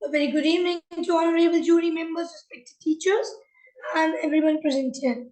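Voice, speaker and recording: A student debater on a video call, opening a speech with a formal, rushed greeting. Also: very high-pitched, a little mellow. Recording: echoey room on a video call.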